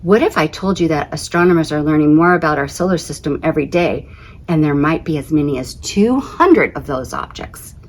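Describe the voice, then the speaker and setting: A woman reading aloud as a school librarian in an expressive children's storybook style, with emphatic phrasing. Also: low-pitched, brisk.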